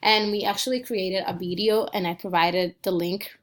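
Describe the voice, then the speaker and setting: A woman's voice: medium pitch, steady tone, moderate pace. Young woman narrating a casual screencast. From Dominican Republic. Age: 20s. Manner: casual and earnest, with frequent ums and small restarts. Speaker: student. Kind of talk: screencast walkthrough of a personal website.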